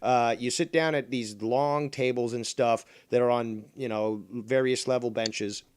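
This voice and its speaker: A man's voice: mid-range voice, fairly even intonation, a little slow, clean tone, no room sound. A man hosting a casual call-in hangout, joking, swearing and rambling through long explanations. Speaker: host. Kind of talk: casual chat.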